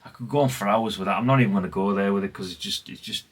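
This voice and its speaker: A man's voice: on the low side, racing, husky. A man, chatting casually and ramblingly as he presents old radio gear in a vlog. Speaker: vlogger showing vintage CB radios. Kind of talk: casual, unscripted chat.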